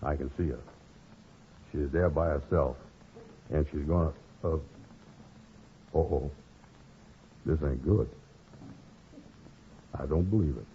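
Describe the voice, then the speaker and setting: Big dumb lug, folksy slow drawl, real low voice, tinny old radio comedy. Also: male voice, slight background noise, exaggerated voice. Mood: dismayed. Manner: folksy, ponderous, ungrammatical drawl. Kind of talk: 1950s radio comedy acting.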